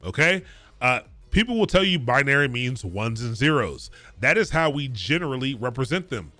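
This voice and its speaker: Man, Baltimore accent, bootcamp coding instructor; bright, forceful delivery, dry close sound, fast and casual with lots of restarts. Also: mid-range voice, sing-song, dry recording. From Baltimore area, Maryland. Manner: casual, talks quickly, repeats and restarts phrases; chats with viewers between points. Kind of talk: live-streamed lecture.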